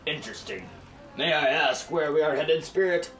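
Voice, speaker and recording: A man's voice: fairly high pitch, normal speed, fairly bright tone, reverberant, natural voice. A man playing an anxious, put-upon miser in a Christmas radio play, with an uneasy, questioning manner. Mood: curious.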